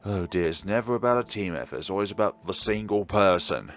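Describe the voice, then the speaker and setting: A man's voice: on the low side, lively intonation, brisk. A man reading a visual novel aloud and giving blunt opinions. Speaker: gaming YouTuber.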